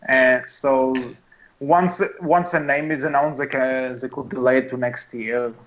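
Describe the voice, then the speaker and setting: A man co-hosting a casual movie podcast, talking emphatically and haltingly, often restarting and repeating his phrases. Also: medium pitch, fairly even intonation, a bit bright, muffled recording. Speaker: podcast co-host. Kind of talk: casual movie discussion with friends.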